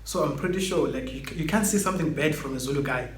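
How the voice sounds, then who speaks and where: A man's voice: on the high side, fast. A young adult man from Zimbabwe with a Zimbabwean accent, a native Ndebele speaker, chatting casually as a guest and laughing easily. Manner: easygoing, laughs often. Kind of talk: casual chat. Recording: echoey room, faint background noise.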